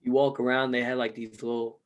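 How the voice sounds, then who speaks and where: A man co-hosting a podcast in casual chat, hoarse from losing his voice, joking loosely and swearing freely. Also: mid-range voice, flat delivery, fairly quick, dull audio. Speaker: podcast co-host.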